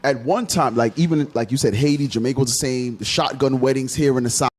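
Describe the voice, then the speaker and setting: A man's voice: mid-range voice, fast, darkish tone. A man hosting a radio talk show, lecturing in an assertive, preachy way during a casual group discussion.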